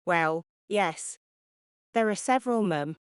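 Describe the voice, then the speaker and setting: Woman as a helpful maid in an audio drama, very clear smooth voice, deferential, folksy, dry sound. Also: lowish voice, expressive, slow pace, no echo. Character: deferential young household servant woman, helpful.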